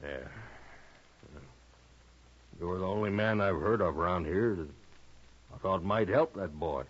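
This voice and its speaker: An American actor playing an uneasy rural Western rancher in a 1950s radio drama, husky, drawling and halting. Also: male voice, deep voice, a little hiss or hum. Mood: pleading, resigned. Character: folksy, uneasy frontier rancher and father, hesitant. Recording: dull lo-fi old radio recording.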